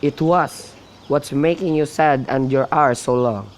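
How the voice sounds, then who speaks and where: A man's voice: mid-range voice, a little slow, natural voice. A young man playing a concerned, sensible cousin in an amateur radio drama of modern-English Shakespeare, with birdsong behind him. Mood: concerned, curious. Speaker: student actor. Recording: faint background noise with outdoor birdsong.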